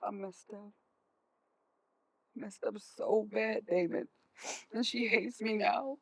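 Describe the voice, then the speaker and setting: A woman playing a worried, guilt-ridden mother in a stage play, pleading and halting. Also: mid-range voice, steady tone, vocal fry, low-quality recording. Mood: guilty, anxious.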